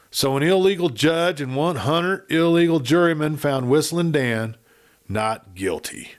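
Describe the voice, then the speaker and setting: A man reading a western novel aloud in a measured, dramatic storytelling manner. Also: mid-range voice. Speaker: audiobook reader. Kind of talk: scripted western storybook narration.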